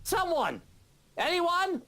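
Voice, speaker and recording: A man's voice: very high voice, big pitch swings, slow, pushed and bright, pitched above the performer's own voice, full character voice. A man voicing a haughty, irritable master of the house in a visual novel, imperious and exasperated. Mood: angry, demanding.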